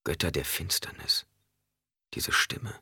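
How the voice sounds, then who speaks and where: A man voicing an anxious woman's inner thoughts in an audiobook, hushed and tense. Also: a bass voice, slow pace, vocal fry, breathy edge, no echo, studio quality, exaggerated voice. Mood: shaken.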